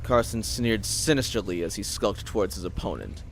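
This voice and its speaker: A man's voice: lowish voice, normal speed, noisy background. A man giving a theatrical, intense scripted narration as a storyteller. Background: music.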